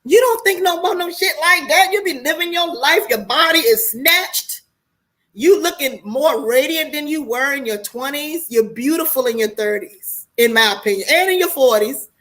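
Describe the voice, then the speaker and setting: A woman in her late forties with an American accent hosts a casual online monologue. Her voice is high-pitched and bright with a forceful edge. She talks straight to viewers in a candid, preachy, colloquial way and swears freely. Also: animated, normal speed, low-quality recording. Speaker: online show host talking to viewers.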